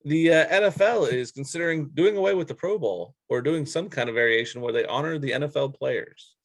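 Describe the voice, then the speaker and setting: A man's voice: fairly high pitch, fairly quick, no room sound. A man co-hosting a sports show in casual, bantering conversation.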